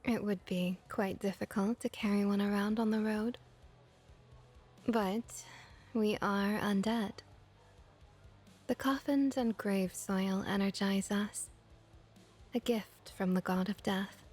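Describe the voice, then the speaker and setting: Breathy, slow-talking friendly vampire innkeeper played by a woman. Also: mid-range voice, fairly even intonation, faint music behind. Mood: welcoming, helpful. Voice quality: soft, breathy, often whispery. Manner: gentle, unhurried, reassuring. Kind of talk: soft-spoken ASMR roleplay.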